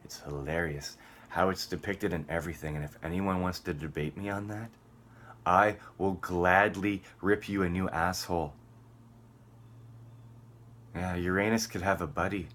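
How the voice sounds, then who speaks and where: A man's voice: deep voice, fairly even intonation, normal speed, pauses often, a bit bright. A man on a rambling solo vlog rant, profane and combative, swinging between muttering and outbursts. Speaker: vlogger.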